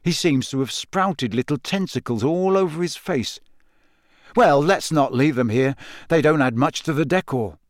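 A man's voice: a little high, moderate pace, dry recording, studio quality, close to an everyday voice. A man voicing a cheeky, matter-of-fact teenage prankster boy in an audiobook, with a light, offhand manner. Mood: light, amused. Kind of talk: audiobook character acting.

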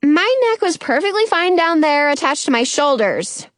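A woman's voice: high voice, big pitch swings, normal speed, no echo, studio quality, voice pushed up. A woman voicing a wary, frustrated young girl heroine in a children's storybook, whiny and protesting. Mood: worried, protesting.

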